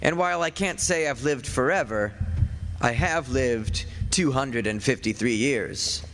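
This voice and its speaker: A man's voice: medium pitch, lively intonation, a little slow, fairly bright tone, a little hiss or hum. An actor playing a witch's centuries-old husband, a learned, matter-of-fact man who tells his story wryly and conversationally in an old-time radio drama. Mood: wry, reminiscing. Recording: reverberant stage hall, faint music.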